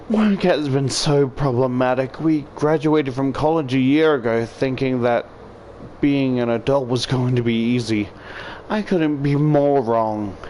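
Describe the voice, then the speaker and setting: A man's voice: medium pitch, a little mellow, slight background noise. A man reading a visual novel aloud and adding joking, sweary commentary. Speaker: video creator reading a game aloud.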